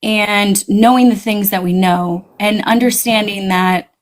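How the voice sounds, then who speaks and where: Animated woman, pitch swinging upward. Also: a little slow. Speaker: guest. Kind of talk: casual conversation.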